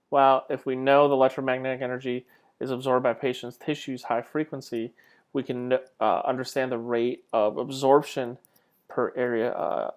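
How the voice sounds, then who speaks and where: A man delivering an informal narrated slide lecture as a college instructor, conversational and emphatic on key points, often posing questions to his students. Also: medium pitch. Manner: conversational, asks rhetorical questions.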